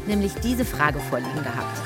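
A woman's voice: sits low, big pitch swings, moderate pace. A woman presenter addressing children in an upbeat scripted podcast promo. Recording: clear music bed behind. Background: music.

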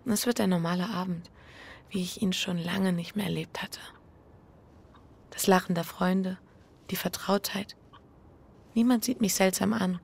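An actress playing a reflective, troubled married woman in an intimate, hushed radio drama. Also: lowish voice, steady tone, a little slow, gravelly, long pauses, slightly rough, heavily acted. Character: reflective, troubled married woman facing her fear and guilt. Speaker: lead actress and first-person narrator.

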